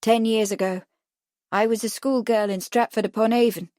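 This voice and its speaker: A woman's voice: on the high side, fairly even intonation, normal speed, a little mellow, dry recording, clean studio sound, close to an everyday voice. A woman voicing a defiant, world-weary young English woman in a story, snappish and sullen.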